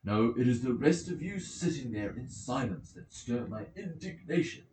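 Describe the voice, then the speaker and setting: A man's voice: medium pitch, lively intonation, normal speed, mellow, pitched above the performer's own voice, lightly acted. A man voicing an earnest, scolding old nobleman giving an emphatic speech in an audiobook of an epic. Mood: indignant. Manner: emphatic, declamatory. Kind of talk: dramatic audiobook character reading. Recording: roomy echoing home recording.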